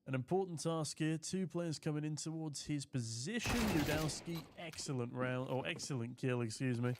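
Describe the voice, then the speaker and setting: A man's voice: fairly high pitch, noisy background. A man from the UK casting an esports match alone, calling the play and chatting with viewers in the chat. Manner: chatty, jokey, reads viewer chat aloud between play calls. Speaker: esports caster. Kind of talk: solo esports commentary with chat reading.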